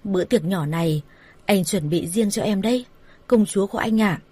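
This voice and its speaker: Woman acting a cruel, greedy young man, husky. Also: mid-range voice, moderate pace, dark tone, close to an everyday voice. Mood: sweet-talking. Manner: harsh, contemptuous.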